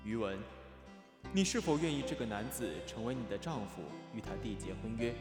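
An actor playing a solemn wedding priest in an audio drama, declaiming formally. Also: male voice, medium pitch, expressive, brisk, natural voice. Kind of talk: modern Chinese audio drama acting. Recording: echoing church hall, loud music behind.

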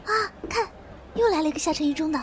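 A woman playing a gossipy, excitable teenage student in an audio drama, chattering away. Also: sits high, quick pace, background noise, lightly acted. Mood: gossiping. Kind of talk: amateur Chinese audio drama acting.

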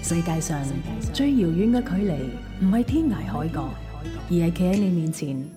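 A woman's voice: lowish voice, lively intonation, normal speed, natural voice. A woman playing a lovelorn young heroine in a romantic radio drama monologue. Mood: wistful longing. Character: lovelorn young heroine confiding unspoken love. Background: loud guitar music.

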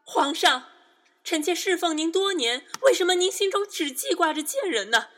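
A woman playing a haughty, jealous imperial concubine in a Chinese palace radio drama, loud, emphatic and accusing. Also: very high voice, big pitch swings, normal speed, soft background music, exaggerated voice. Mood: bitter, desperate.

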